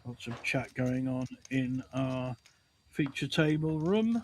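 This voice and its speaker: A man's voice: medium pitch, expressive, takes it slowly, a little hiss or hum. A man giving chatty, conversational live commentary on a poker livestream.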